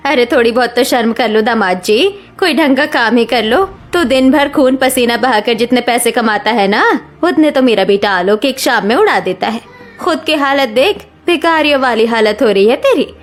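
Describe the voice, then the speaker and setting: A woman's voice: a little high, expressive, normal speed, natural voice. A woman voicing a harsh middle-aged mother-in-law who scolds and mocks, in a cartoon story. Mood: scornful. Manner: scolding, taunting. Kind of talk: Hindi cartoon story dialogue.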